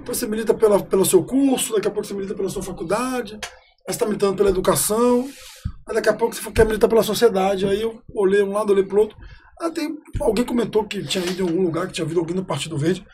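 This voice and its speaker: A man in his forties from Rio de Janeiro, a guest telling his life story in a relaxed, talkative way on a podcast. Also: high-pitched, racing, slight background noise. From Rio de Janeiro, Brazil. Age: 40s. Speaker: guest, city councillor. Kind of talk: casual storytelling interview.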